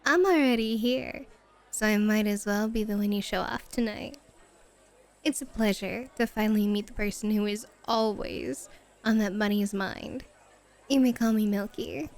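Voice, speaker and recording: A woman playing a sly, playful club hostess in a flirty ASMR roleplay, teasing with coy, mock-scandalized, drawn-out phrasing. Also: mid-range voice, natural voice. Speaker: guest voice actor.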